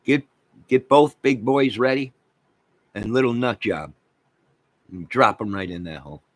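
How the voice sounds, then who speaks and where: A man's voice: mid-range voice, unhurried, no room sound. An American man giving a rambling, off-the-cuff rant as an online channel host, speaking in an exasperated, repetitive and emphatic manner.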